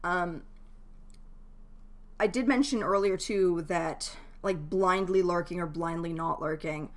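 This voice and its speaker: A woman's voice: fairly low pitch, slow. A woman chatting casually and giving advice to viewers as a live game streamer, in a chatty, informal way.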